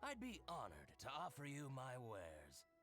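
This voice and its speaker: An actor playing a sly, fawning merchant in a video game, with a theatrical, sing-song delivery. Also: male voice, mid-range voice, wildly swooping pitch, measured pace, a bit bright, soft background music. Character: fawning, sly middle-aged male merchant.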